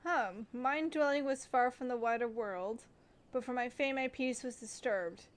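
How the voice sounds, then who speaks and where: High-voiced woman streaming a game. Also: unhurried, smooth. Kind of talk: casual live game commentary, reading aloud and reacting. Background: game music.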